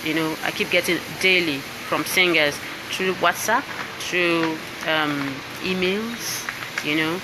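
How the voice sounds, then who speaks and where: A woman's voice: fairly low pitch, slow, background noise. A Nigerian woman, a singer and voice coach, talking casually to viewers on a live stream from indoors and often checking in with "all right?" and "you understand?". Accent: Nigerian English. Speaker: singer and voice coach presenting her book. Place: indoors with piano and laptop.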